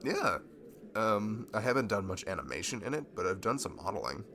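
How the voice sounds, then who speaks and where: Shy young nerd in love male actor, low and gravelly, hesitant and rambling, ASMR roleplay over audible background noise. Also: very animated, brisk, a bit bright. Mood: giddy, nerdy. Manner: rambling, hesitant, stammering, nervous laughs. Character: shy, giddy, nerdy young man, a longtime friend in love.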